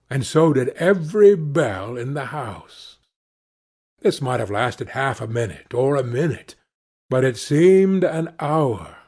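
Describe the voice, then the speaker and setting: A man reading a classic novel aloud as an audiobook, with measured and expressive storytelling. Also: a little high, husky. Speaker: audiobook reader. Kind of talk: scripted narration of a classic novel.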